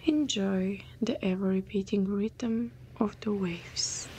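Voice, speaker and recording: A woman leading a guided meditation in a soothing, breathy voice that often softens almost to a whisper. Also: mid-range voice, slow. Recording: clear background noise, ambient sound.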